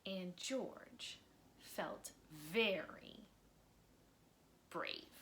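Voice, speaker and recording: A woman reading a picture book aloud for children, expressively, with dramatic pauses and drops into breathy near-whispers for suspense. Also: lowish voice, fairly bright tone, a little hiss or hum. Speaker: volunteer storybook reader. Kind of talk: children's read-aloud storytime narration.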